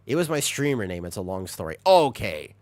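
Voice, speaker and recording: A man streaming a video game, chatting with viewers, reacting with excited high bursts and then dropping into quiet asides. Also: a little fast, no echo. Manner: animated, jumps into high excited bursts while reading chat; drops to low, quiet asides while setting up. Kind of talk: live-stream chat while gaming.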